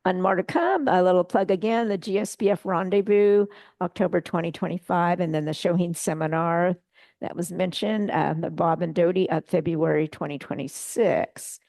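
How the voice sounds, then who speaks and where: A low-pitched woman with a gravelly edge talks casually through a slide show, pausing often with fillers and restarting her sentences. The sound is dry and close, with little room echo. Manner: relaxed, chatty, many fillers and restarts. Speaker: guest presenter at a bonsai club meeting.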